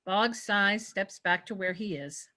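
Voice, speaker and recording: A woman's voice: mid-range voice, a little slow, pushed and bright. A woman narrating a screenplay, reading out scripted stage directions at a table read.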